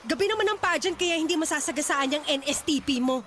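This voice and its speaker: A woman's voice: sits high, animated, quick pace, a bit bright, slight background noise. A woman voicing a pushy, domineering middle-aged stage mother who scolds and brushes off objections, in an audio drama. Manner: bossy, scolding, dismissive.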